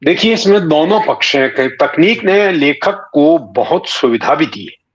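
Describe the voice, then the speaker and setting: A man, giving measured, reflective interview answers phrase by phrase. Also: on the high side, expressive, lo-fi sound. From India. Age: 60s. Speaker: interview guest, writer and former broadcaster.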